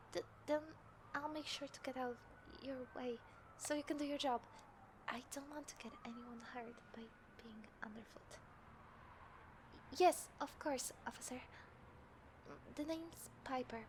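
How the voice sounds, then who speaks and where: A woman puts on a meek, polite young woman for an audio drama. She sounds soft and fairly high, stammering apologetically as if worried and unsure. Light city noise runs behind her. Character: timid, polite young woman feigning worry over lost dog. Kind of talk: scripted audio roleplay. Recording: background noise with city ambience effects.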